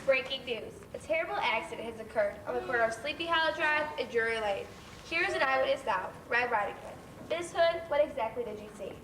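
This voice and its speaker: A serious female newsreader acted on stage by a woman, high in pitch. She delivers a formal bulletin, heard at a distance in an echoey space. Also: lively intonation, brisk. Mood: urgent, formal. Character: serious female breaking-news reporter. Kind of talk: stage play newscast delivery. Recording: roomy, distant stage recording with faint noise.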